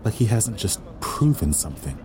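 A man's voice: lowish voice, big pitch swings, moderate pace, over music. A man playing a guilt-ridden, self-doubting young waiter with secret powers in an audio drama, brooding and hesitant. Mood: bitter, frustrated. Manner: brooding, rueful, hesitant with others. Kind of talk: audio drama acting with inner monologue.

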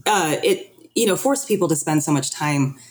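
A woman's voice: low-pitched, lively intonation, normal speed. A woman speaking as a podcast guest, candid and self-reflective, acting out remarks she quotes as she talks. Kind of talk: candid personal conversation.

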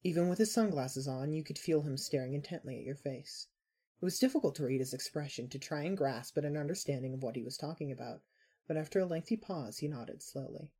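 Female fiction narrator, mellow and husky, scripted storytelling read. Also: sits low, fairly quick.

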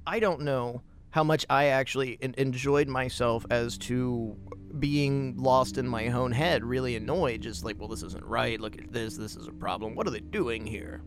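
A man's voice: mid-range voice, normal speed, music in the background. A man hosting a podcast, telling personal stories and opinions in an emphatic, profane way. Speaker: podcast host. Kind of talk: spoken podcast commentary and personal anecdote.

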